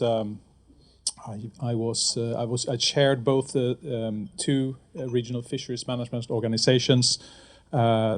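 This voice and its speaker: A man's voice: medium pitch, a little mellow. A middle-aged man from Sweden, a former fisheries regulator, giving panel remarks with enthusiasm and many fillers and restarts.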